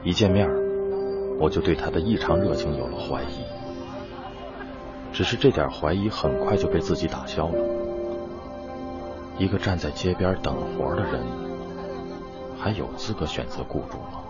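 The inner narration of a weary, brooding teacher in a radio drama. The voice is extremely deep and measured. Loud music plays under a slightly dull recording. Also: male voice, lively intonation. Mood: brooding, reflective. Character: weary male teacher narrating his own hardship, reflective, melancholy.

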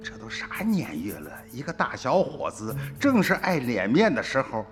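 A man's voice: mid-range voice, moderate pace, in a large hall, soft background music. An actor playing a watchful father who recounts his memories as dramatic radio drama narration. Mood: puzzled. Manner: storytelling, expressive.

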